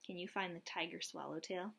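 A young adult woman reading aloud and explaining gently, as a teacher does for young children. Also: lowish voice, steady tone, fairly quick, vocal fry, breathy edge. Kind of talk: children's read-aloud and lesson. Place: small lamp-lit room at home, indoors.

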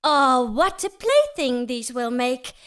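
A man voicing a giddy, mischievous little girl in a storybook reading, in a high falsetto. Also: sing-song, takes it slowly, pushed and bright, clean tone, full character voice. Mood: gleeful.

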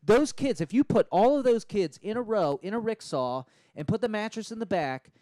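Man playing an eager scheming dad, higher-pitched voice. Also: animated, normal speed, mellow, no room sound. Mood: eager, insistent. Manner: rambling, persistent, earnest sales pitch. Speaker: prank caller. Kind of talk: improvised prank phone call. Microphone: handheld microphone held close to mouth.

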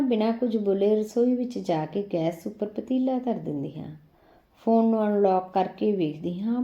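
A woman's voice: medium pitch, dark tone, muffled recording. A woman reading an emotional first-person short story as a scripted audiobook narration.

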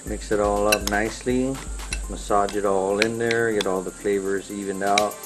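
Middle-aged male chef-host, lowish voice, relaxed informal cooking demo on an outdoor patio, music behind. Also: moderate pace. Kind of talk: casual cooking demonstration.

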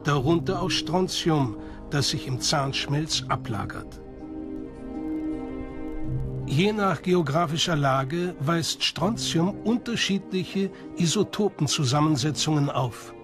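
A man narrates a documentary from a script. His voice sits on the high side for a man, and music plays clearly behind him. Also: expressive, takes it slowly.

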